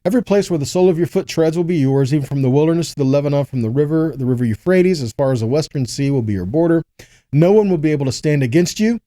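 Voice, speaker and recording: A man with a soft-edged, mellow voice pitched a little high teaches from scripture. He reads verses and then explains them in a didactic style, punctuated by brief questions to the listener. The sound is dry, with very little room in it. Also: lively intonation, fast talker, no room sound. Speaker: Bible teacher.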